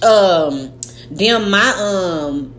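A woman's voice: medium pitch, drawn-out words, echoey room, a little hiss or hum. Slangy woman in her late thirties. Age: 30s. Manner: animated, slangy, swears freely. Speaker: podcast co-host. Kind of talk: casual chat.

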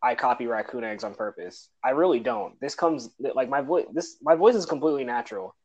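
Man, casual podcast chat, laughs a lot, restarts sentences, dull lo-fi recording, mid-pitched voice. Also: lively intonation, fairly quick, a little mellow. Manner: laughs often, repeats words when starting sentences. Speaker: podcast co-host. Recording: dull lo-fi audio.

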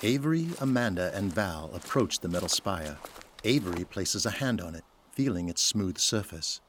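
Male audio drama narrator: lowish register, scripted dramatic storytelling, heavy musical bed. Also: lively intonation, normal speed, over music.

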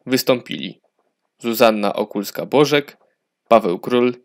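A man's voice: medium pitch, a little slow. A man narrating a scripted children's fairy tale as a storyteller.

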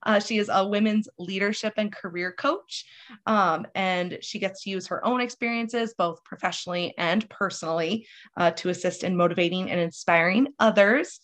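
A woman's voice: medium pitch, steady tone, moderate pace, a bit bright. Woman, podcast co-host, rambling, laughs a lot, casual, video call. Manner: chatty, rambling, laughs often. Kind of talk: casual conversational interview.

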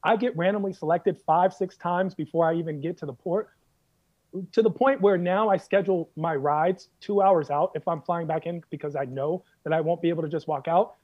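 An American man telling comic stories in casual conversation, animated and emphatic, repeating phrases for effect. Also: a little high, brisk, lo-fi sound. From United States. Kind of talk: comedic storytelling in casual chat.

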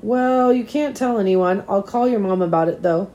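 Storybook role: encouraging, persuasive male teacher read by a woman, slightly hoarse, gentle delivery. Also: medium pitch, moderate pace, dull audio, lightly acted. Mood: coaxing.

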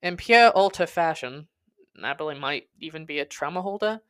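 A woman's voice: sits low, animated, normal speed, clean tone. A woman reading a scripted first-person story in a literary, dramatic storytelling manner. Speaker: podcast host and narrator.

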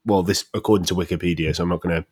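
Easygoing low-voiced man co-hosting. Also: steady tone, rapid-fire, a little mellow, breathy edge, no room sound, clean studio sound. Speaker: podcast co-host. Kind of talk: casual chat.

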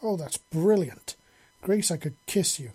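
A man's voice: high voice, animated, measured pace, a little mellow, slightly rough, pitched above the performer's own voice, heavily acted. A man voicing a sweet, easily flustered male alien engineer in an audiobook story, earnest and innocent. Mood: delighted. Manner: earnest, hesitant when flustered.

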